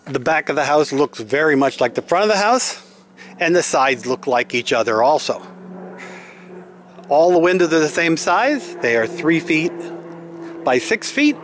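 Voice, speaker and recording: A man's voice: a little high, sing-song, a little slow, pauses often, fairly bright tone, slight background noise. A man giving an unscripted, explanatory walk-through tour in a matter-of-fact way, speaking outdoors into a handheld camera. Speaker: homeowner giving a house tour. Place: outdoors in an open timber-framed house under construction. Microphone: handheld camera, held by the speaker.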